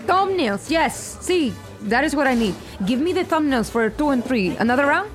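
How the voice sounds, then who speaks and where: An actress playing a volatile, theatrical TV producer in an audio drama, with an emphatic, profane, menacing way of talking. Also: female voice, high-pitched, animated, normal speed, soft background music. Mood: excited, demanding. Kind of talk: audio fiction acting.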